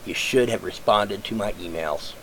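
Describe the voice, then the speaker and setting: A man talks into a webcam at home, his voice a little low and raspy, as if congested. He rambles casually, restarting sentences and filling gaps with "uh" while he thinks aloud. A faint hum of room ambience sits behind him. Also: normal speed, a bit bright, poor audio. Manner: rambling, lots of fillers and restarts. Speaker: vlogger.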